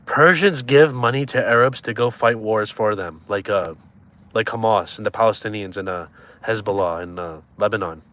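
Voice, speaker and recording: A man's voice: fairly low pitch, normal speed, a bit bright. A man in his twenties chatting casually on a live stream, joking and teasing viewers as he reads their comments. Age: 20s.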